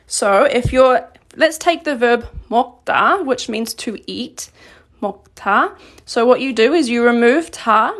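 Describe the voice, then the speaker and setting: Woman teaching grammar, halting ums. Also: on the high side. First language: English. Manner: halting, thinking aloud with frequent ums. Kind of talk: live-streamed grammar lesson.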